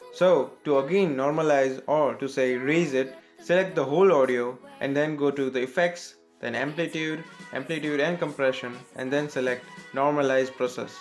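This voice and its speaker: Indian guy doing a how-to tutorial, slightly high voice, faint music behind him. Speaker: tutorial host. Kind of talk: scripted software tutorial narration.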